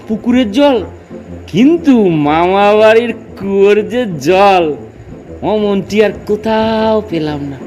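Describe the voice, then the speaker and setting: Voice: mid-range voice, darkish tone. A performer in a comic audio drama playing cantankerous, boastful village men who quibble pedantically and argue emphatically. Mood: boastful, savouring. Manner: argumentative, emphatic, rambling lists.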